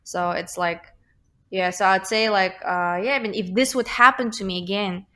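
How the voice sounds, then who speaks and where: A woman's voice: mid-range voice, fairly even intonation, normal speed, fairly bright tone. A young woman with a slight Russian accent, a guest talking thoughtfully in a casual interview, with many fillers and restarts. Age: young adult.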